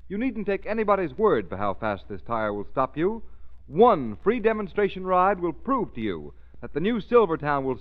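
A male radio announcer delivering a vintage commercial. His fairly high voice swings widely and lands hard on key words. The recording is muffled.

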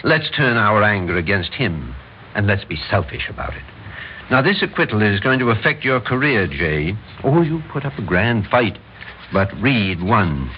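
A man's voice: lowish voice, big pitch swings, moderate pace. An actor playing a proud, needling older Major and father-in-law with an American accent, blustery and persuasive, in old-time radio drama style. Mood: insistent. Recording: dull, noisy old radio recording.

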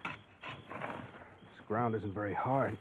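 A man's voice: fairly low pitch, very slow. An actor playing a reluctant, hard-boiled young man in an old-time radio drama, with a brusque, slangy way of talking. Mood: wry, probing. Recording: tinny, noisy vintage radio recording.

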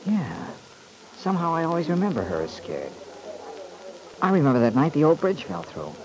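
Earnest, very animated young Randy. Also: male voice, a little high, normal speed, rough recording. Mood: wondering. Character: earnest, puzzled, sympathetic young man Randy. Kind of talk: classic radio soap opera acting. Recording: old radio recording with crowd murmur.

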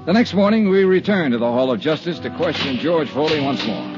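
An actor playing a steady, hard-boiled insurance investigator in a 1950s radio drama, clipped and matter-of-fact, with an American accent. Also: male voice, on the high side, a little fast, natural voice. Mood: dry, matter-of-fact. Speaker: narrator and lead actor. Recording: dull 1950s radio recording, music behind.

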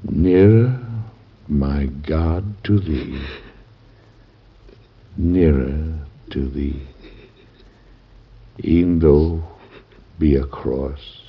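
An actor playing a dying, devout president in an old-time radio drama, speaking tenderly, solemnly and haltingly. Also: male voice, very deep voice, breathy edge, exaggerated voice. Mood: resigned, solemn. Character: dying, devoted, devout American president bidding his wife farewell. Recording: dull vintage radio recording with music.

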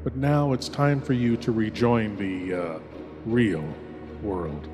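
A man's voice: fairly low pitch, slow, music in the background. A man playing an ominous, theatrical lord who hosts a horror show, speaking in a sinister, portentous way. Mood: sinister, inviting. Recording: long cavernous reverb.

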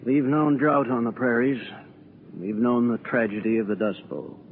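A man's voice: mid-range voice, moderate pace, close to an everyday voice. An actor playing an earnest, hopeful prairie townsman giving a declamatory speech in a 1940s radio drama. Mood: stirring. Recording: tinny, noisy 1940s radio recording.

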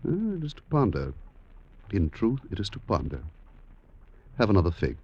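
A skeptical Roman family man in an old radio play. His low voice moves with lively inflection, delivering short, wry, theatrical replies. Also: normal speed, slightly rough, highs cut off, exaggerated voice. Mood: skeptical, pondering. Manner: wry, pithy, stagey. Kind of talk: 1950s radio drama acting.

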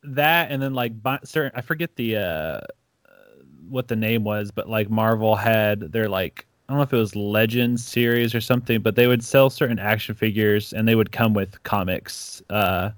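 A man's voice: lowish voice, moderate pace, dry recording, studio quality. A young man from Ecuador, a comic creator guest, telling stories casually and acting out quotes with lots of "like". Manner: animated storyteller, acts out quotes, many "like"s. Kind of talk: casual interview chat.

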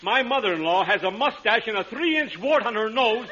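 Casting: aggrieved cop, very high-pitched, whiny and swooping, easily offended, vintage radio comedy. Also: male voice, animated, moderate pace, pushed and bright, a little hiss or hum. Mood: indignant, whiny. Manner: whiny, sarcastic, twists every remark into an insult. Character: touchy, aggrieved, argumentative neighborhood policeman, easily offended. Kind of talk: 1940s radio comedy acting. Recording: dull, lo-fi 1940s radio recording.

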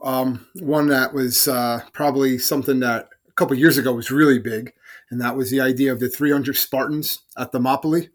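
A man's voice: medium pitch, steady tone, fairly quick. A middle-aged man co-hosting a casual podcast, teasing his partner with easygoing banter. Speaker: podcast co-host. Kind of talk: casual chat.

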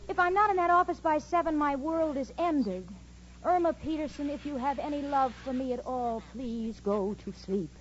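A woman's voice: sits high, normal speed, slight background noise, close to an everyday voice. A woman playing an exasperated sensible roommate. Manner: weary, pleading exasperation. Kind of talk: 1940s radio sitcom acting. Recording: dull old radio broadcast with audience laughter.

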